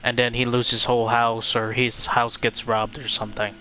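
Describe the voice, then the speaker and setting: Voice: medium pitch, flat delivery, normal speed, bright, forceful tone, rough recording. A game streamer giving casual live reactions, musing aloud and questioning the story. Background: game music.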